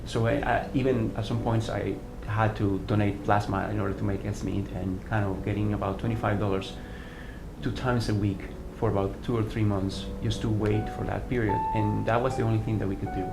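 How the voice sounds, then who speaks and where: A lowish-voiced man from Venezuela, speaking English with a Venezuelan accent. He tells his story earnestly and haltingly, restarting his phrases often. The voice sits in a roomy space with music playing clearly underneath. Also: little pitch movement. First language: Spanish. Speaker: artistic director of a dance company. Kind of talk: personal testimony interview.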